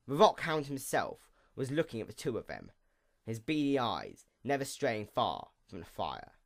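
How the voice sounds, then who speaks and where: A man's voice: medium pitch, creaky, a bit bright. Man narrating fantasy with sweeping intonation. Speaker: story narrator. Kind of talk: scripted dramatic narration of a fantasy story.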